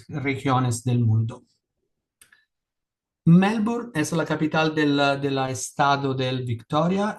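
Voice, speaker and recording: A man presenting at a conference in Spanish, which is not his first language, speaking carefully with frequent restarts and fillers. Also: mid-range voice. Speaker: panelist.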